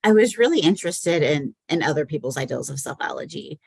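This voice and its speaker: A woman's voice: sits low, animated, a little fast, creaky, breathy edge, no room sound, studio quality. A woman poet answering questions about her work in an informal, musing, self-questioning way. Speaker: poet and anthology editor, guest. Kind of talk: poetry reading and conversational Q&A.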